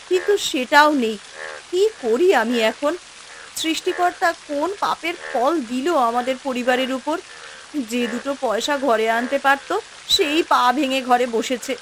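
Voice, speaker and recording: A woman's voice: high voice, moderate pace, a bit bright, a little hiss or hum. A woman voicing a worried, dutiful poor village wife and mother in a cartoon, speaking in a plaintive, homely way. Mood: distressed. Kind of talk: Bengali fairy-tale cartoon voice acting.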